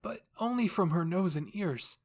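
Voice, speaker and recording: A man voicing a worried young male soldier in an anime fan story, halting and apologetic. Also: high-pitched, lively intonation, darkish tone, pitched above the performer's own voice. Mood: hesitant, worried.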